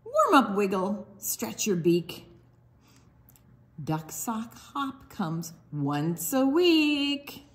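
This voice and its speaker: An older woman reading a children's picture book aloud in an expressive, deliberate sing-song way, with small asides to her young listeners. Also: mid-range voice.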